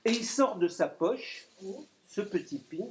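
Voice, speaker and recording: Playful high-voiced man reciting comic verse. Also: lively intonation, unhurried. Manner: playful wordplay, chuckling asides. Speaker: poet and clown performer. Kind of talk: recited comic poetry at a live reading. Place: live poetry event room.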